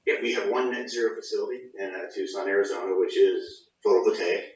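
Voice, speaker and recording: Guy explaining technical stuff in an interview, lowish voice, talks quick, casual wording. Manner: explanatory, informal, often says "you know" and "sort of". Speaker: government project engineer interviewee. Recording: roomy, distant, dull sound.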